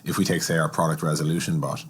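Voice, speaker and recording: A man's voice: extremely low pitch, little pitch movement, fast, breathy edge. A man hosting a podcast conversation, offering his own opinions and thinking aloud through examples before putting questions. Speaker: podcast host.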